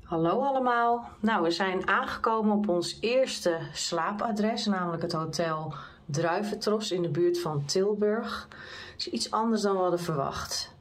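A woman's voice: mid-range voice, measured pace. A middle-aged woman giving a plain show-and-tell tour of a small hotel room. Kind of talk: casual travel vlog.

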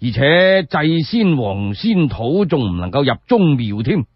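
A man's voice: a little high, very animated, measured pace, husky, no room sound, dull audio, exaggerated voice. A man with a Cantonese accent voicing a dignified, pedantic old male sage in a radio story, hesitant and self-justifying. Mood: earnest, pedantic.

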